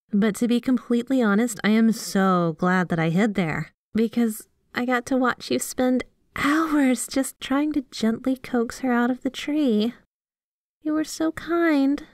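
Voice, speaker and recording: A woman's voice: medium pitch, darkish tone, dry recording, studio quality, close to an everyday voice. A woman playing a sweet, obsessively devoted yandere girlfriend in a scripted ASMR roleplay, gushing and bashful as she speaks to the listener. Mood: tender, nostalgic. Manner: gushing, giggly, teasing, bashful asides.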